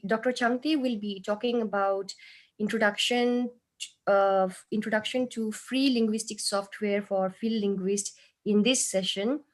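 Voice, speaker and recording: A woman opens an online session over a video call. She introduces the speaker formally and reads slowly, pausing on fillers. Her voice is mid-pitched. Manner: slow, reading out with fillers. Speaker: session host.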